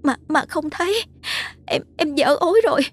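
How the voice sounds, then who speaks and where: A woman's voice: high voice, lively intonation, normal speed, pitched above the performer's own voice. A woman voicing a terrified young woman in labor who stammers and sobs as she begs for help, in a dramatic audio story. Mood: pained, pleading. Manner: breathless, stammering, sobbing.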